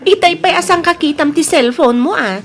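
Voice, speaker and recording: A woman's voice: extremely high pitch, normal speed, music in the background. A woman acting in an Ilocano audio drama as a chatty, curious young friend, speaking in a familiar way. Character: chatty young girlfriend, curious and understanding confidante.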